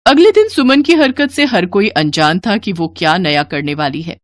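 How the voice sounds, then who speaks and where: A woman's voice: mid-range voice, lively intonation, normal speed, no echo. A woman narrator reading a scripted moral story.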